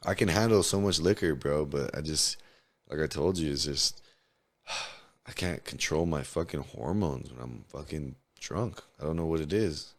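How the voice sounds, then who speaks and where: A hoarse man in his twenties from New Mexico, a podcast guest telling candid, profane stories in heavy slang. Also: very deep voice, normal speed. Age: 20s. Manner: laid-back, profane, storytelling, heavy slang. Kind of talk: casual candid interview chat.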